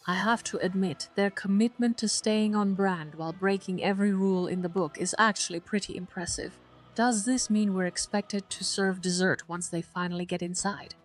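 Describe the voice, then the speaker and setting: A woman's voice: mid-range voice, fairly even intonation, normal speed. A woman's synthetic-sounding voice giving witty, polished commentary as a co-host in a wry, teasing way. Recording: music behind the voice.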